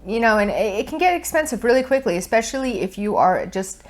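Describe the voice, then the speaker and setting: A woman's voice: on the high side, big pitch swings, fast talker. A woman in her thirties chatting casually on a couch, giving seller advice in an explanatory way, recorded with a lapel mic. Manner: chatty and explanatory, lots of fillers. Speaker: co-host, online seller. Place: indoor living room on a couch.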